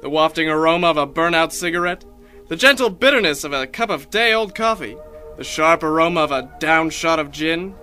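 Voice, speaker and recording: A man's voice: on the high side, lively intonation, normal speed, sharp tone. A young man playing a mock-earnest, grandiose announcer in a parody 1930s radio advertisement. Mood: grandly persuasive. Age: young adult. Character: earnest smooth-talking male 1930s radio sponsor announcer. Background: music.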